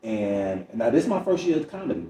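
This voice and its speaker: A man's voice: medium pitch, sing-song, a little fast, mellow. A middle-aged American man from Chicago, a comedian telling stories conversationally as an interview guest, replaying dialogue as he goes. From Chicago, United States. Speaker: stand-up comedian, podcast guest. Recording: roomy, distant mic, faint noise.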